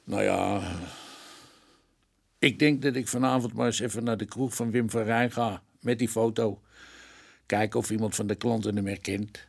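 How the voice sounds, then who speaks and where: An actor playing a blunt, eager police sergeant in a Dutch radio play, colloquial and stumbling over long words. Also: male voice, fairly low pitch, steady tone, moderate pace, vocal fry. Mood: chummy, hesitant. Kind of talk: Dutch radio drama acting.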